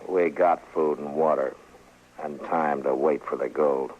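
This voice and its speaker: An actor playing a stoic, weary frontier marshal with an American accent, grave and terse in an old radio western. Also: male voice, a bass voice, fairly even intonation, measured pace. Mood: grim. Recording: muffled, faintly noisy old radio recording.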